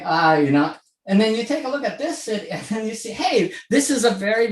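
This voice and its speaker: A man in his sixties speaks with a lively, rising and falling pitch, checking in with his listeners as he goes. The sound carries a noticeable room echo, as if picked up over a video call. He from Hong Kong talks in a loose conversational way. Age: 60s. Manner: conversational, frequent "okay?" check-ins. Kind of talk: presentation to a virtual audience.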